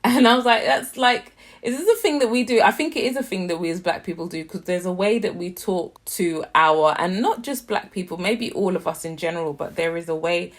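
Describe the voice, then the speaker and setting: A young British woman casually reviewing a TV series, chatty and slangy with animated asides. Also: on the low side, fairly quick, few pauses. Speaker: YouTube TV reviewer.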